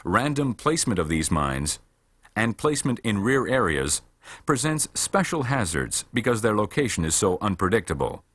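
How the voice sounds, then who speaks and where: Low-voiced male training film narrator. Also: sing-song, fairly bright tone, slightly rough, dry recording. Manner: measured, formal, matter-of-fact. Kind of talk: scripted instructional narration.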